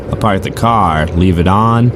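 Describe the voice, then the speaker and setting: A man's voice: sits low, unhurried, background noise. A man playing a chatty, sardonic rideshare driver, telling his story in the first person for an audio drama. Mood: casual storytelling. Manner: casual, sardonic, conversational. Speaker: narrator and lead character.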